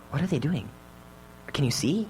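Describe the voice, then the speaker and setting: An anxious teenage boy played by an actor, cautious and hesitant, with pitch that jumps around a lot. The style is radio drama, with a faint hum on the live recording. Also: low-quality recording. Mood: urgent, hushed. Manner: nervous, hesitant, repeats words.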